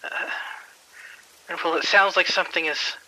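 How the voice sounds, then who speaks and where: A man's voice: on the high side, steady tone, normal speed, bright, forceful tone, rough recording. An actor playing a nervous hospital maintenance worker in an audio drama, uneasy and hesitant as he reports in. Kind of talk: radio drama acting.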